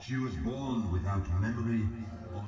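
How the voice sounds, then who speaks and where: A man voicing an aloof, imperious ancient god in a video game, speaking in a solemn, formal and deliberate way. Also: lowish voice, highs cut off, natural voice. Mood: aloof, reflective. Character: ancient exiled male god-emperor, aloof, measured, imperious. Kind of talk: scripted video game dialogue. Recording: echoing, distant voice over music.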